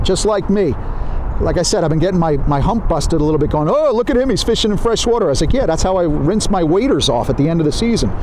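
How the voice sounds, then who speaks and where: Chatty middle-aged man with a high voice. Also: a little mellow, slight background noise. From New Jersey. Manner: chatty and folksy, with frequent fillers and restarts. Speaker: fishing magazine editor giving a fishing report. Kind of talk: casual outdoor fishing report. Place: outdoors by a stream on a sunny winter day.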